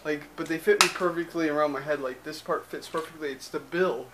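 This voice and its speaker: A man's voice: a little high, brisk, heavy background noise. Rambling young man vlogging at arm's length. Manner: rambling, filler-heavy, loose and chatty. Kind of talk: casual vlog chat. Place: small cluttered spare bedroom indoors. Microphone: handheld camera at arm's length, moving around.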